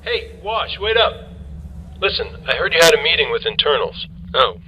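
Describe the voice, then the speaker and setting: A man playing a casual, guarded soldier in an animated sci-fi series, informal and a little defensive. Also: fairly high pitch, moderate pace, muffled recording, slight background noise. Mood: coaxing. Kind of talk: conversational animated sci-fi drama.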